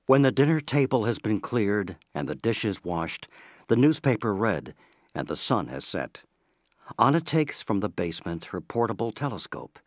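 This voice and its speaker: A man narrating an audio play in a scripted, measured storytelling manner. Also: lowish voice, no room sound, clean studio sound.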